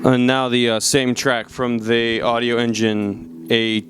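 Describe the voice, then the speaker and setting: A man giving a casual, unscripted product review in a halting way, often searching for his words. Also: mid-range voice, little pitch movement, loud music behind the voice. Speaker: audio gear reviewer.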